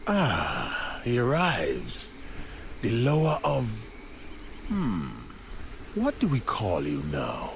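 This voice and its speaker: A treacherous male troll prophet from a game cutscene. He declaims very slowly in grand, zealous fashion, swinging widely in pitch, over faint background noise. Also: huge pitch swings, vocal fry, heavily acted. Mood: mocking, menacing. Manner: theatrical, grandiose, slow declaiming. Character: scheming male troll prophet, zealous traitor to his king. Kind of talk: video game cutscene acting.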